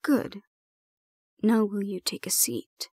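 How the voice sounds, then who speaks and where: Woman acting as stern, composed supervisor for an audio drama; formal, deliberate delivery, dry close sound. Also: mid-range voice, big pitch swings, a little mellow, dry recording. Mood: calm, formal. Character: composed, stern female supervisor handling a dangerous ward. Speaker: voice actor in audio roleplay. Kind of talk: scripted audio roleplay acting.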